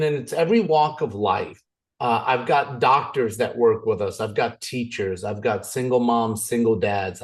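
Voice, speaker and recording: A man from Canada, probably in his thirties, giving a chatty, joking business presentation over a video call. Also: medium pitch. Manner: chatty and joking, goes off on tangents.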